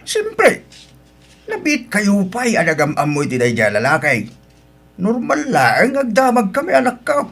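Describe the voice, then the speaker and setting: An actor playing a fretful older parent in an Ilocano radio drama. The mid-pitched voice swings widely as it scolds and soothes, with an Ilocano Filipino accent. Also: wildly swooping pitch, measured pace, gravelly, a bit bright, slightly rough, exaggerated voice. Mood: scolding, doubtful.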